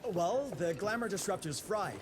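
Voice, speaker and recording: An actor playing an eager, nervous young engineer who rattles off words and stammers. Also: male voice, sits high, big pitch swings, normal speed, noisy background.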